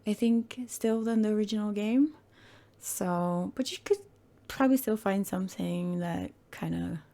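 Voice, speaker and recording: Woman, hoarse croaky voice, gravelly fry, low mellow tone, rambling casual game commentary. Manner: rambling, hesitant, self-correcting. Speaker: gaming channel host.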